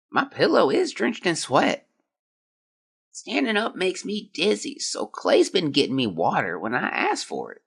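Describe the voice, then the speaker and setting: An actor playing a gravely ill, weary young boy who haltingly reads out his diary in an audio drama. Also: mid-range voice, fairly bright tone, heavily acted. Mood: weary, guilty. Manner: weak, halting, drifting. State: feverish and exhausted.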